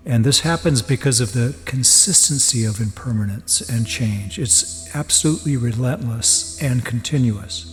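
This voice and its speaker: A man giving a guided meditation teaching in a measured, deliberate way, with pauses between phrases. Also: mid-range voice. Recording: long reverb, soft music behind. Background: music.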